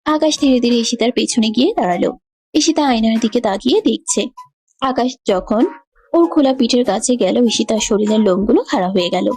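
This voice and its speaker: A woman's voice: fairly high pitch, expressive, a little fast, darkish tone. A woman giving scripted narration of a romantic story.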